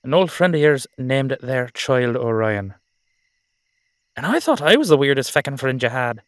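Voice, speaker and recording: A man playing a blunt, boisterous Irish dullahan swordsman in an audio drama, talking in brisk colloquial bursts. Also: medium pitch, sing-song, no room sound, studio quality, close to an everyday voice. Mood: teasing. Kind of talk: dramatic audio roleplay acting.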